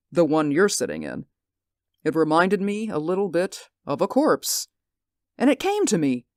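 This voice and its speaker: An actor playing a bitter, vengeful middle-aged stage actor in an old radio drama, theatrical and gloating, dropping into quiet menace. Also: male voice, sits high, dry recording, studio quality, heavily acted. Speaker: villain. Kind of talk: 1940s radio acting.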